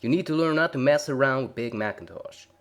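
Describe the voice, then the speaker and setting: A big male farm pony, voiced by a man, talks. He stays placid and pleasant with a quiet menace underneath, as in a dark storybook tale. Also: mid-range voice, brisk, voice pushed up, close to an everyday voice. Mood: calm menace. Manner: placid, folksy, pleasant while threatening.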